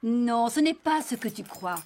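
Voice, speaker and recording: A woman's voice: on the high side, expressive, measured pace, darkish tone. A woman voicing a kind, reassuring talking horse in a French cartoon dub. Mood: gentle, reassuring.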